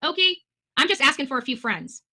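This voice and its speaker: A woman with an American accent and a very sharp, forceful voice, a bit higher than most. She talks fast and bluntly, arguing her side in unscripted live chat and swearing freely. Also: big pitch swings. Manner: blunt, combative, profane. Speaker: guest answering audience questions; talk show host.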